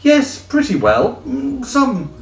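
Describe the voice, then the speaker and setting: A man's voice: extremely high pitch, huge pitch swings, takes it slowly, echoey room, far from the mic, soft background music, pitched above the performer's own voice, full character voice. A man voicing a polite, hesitant, curious young girl in a storybook. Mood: polite, doubtful. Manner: polite, doubtful.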